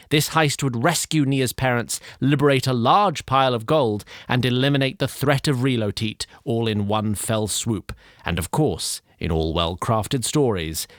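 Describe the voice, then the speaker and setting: Wry, very animated fantasy storyteller. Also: male voice, medium pitch, no room sound, studio quality. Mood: wry recap. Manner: formal, wry, florid phrasing. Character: wry, theatrical storyteller addressing his listeners. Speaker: narrator. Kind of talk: scripted dramatic fantasy narration.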